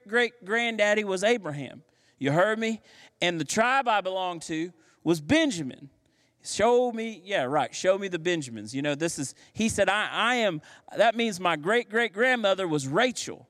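Pastor, male, fairly high voice; playful, slangy preaching; long hall reverb. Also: a bit bright. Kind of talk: sermon.

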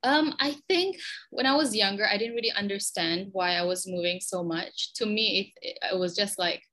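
Woman, Malaysian, twenties, podcast guest, bright voice, chatty, frequent ums and restarts. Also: mid-range voice, normal speed. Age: 20s. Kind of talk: conversational interview answers.

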